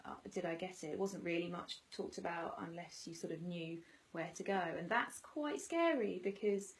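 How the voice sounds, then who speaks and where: A woman's voice: fairly low pitch, moderate pace. A woman interviewing a guest, musing thoughtfully and correcting herself as she talks. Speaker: interviewer, cancer survivor. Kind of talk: casual reflective interview.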